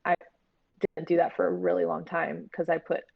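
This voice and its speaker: A woman's voice: lowish voice, flat delivery, normal speed, gravelly, breathy edge, no room sound, dull audio. A woman chatting casually and candidly as an interview guest, talking like a filmmaker about her work.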